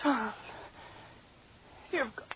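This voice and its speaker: An actress playing a terrified captive wife in an old radio drama, pleading in halting, melodramatic bursts of grief. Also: female voice, crowd noise. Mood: terrified, disbelieving. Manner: breathless, melodramatic, halting pleas. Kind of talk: 1940s radio drama acting. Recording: dull, lo-fi old radio recording.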